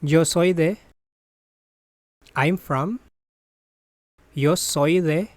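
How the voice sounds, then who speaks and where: A Spanish teacher's voice reading a bilingual phrase drill, saying each phrase, translating it, then repeating it deliberately. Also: medium pitch, a little mellow, no room sound, studio quality.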